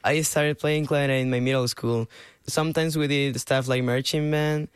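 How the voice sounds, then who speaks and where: A man's voice: medium pitch, fairly even intonation, moderate pace, smooth, dry recording, studio quality. An eighteen-year-old man from Peru with a Peruvian Spanish accent, giving earnest, casual interview answers in simple second-language English. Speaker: interview guest, clarinet student.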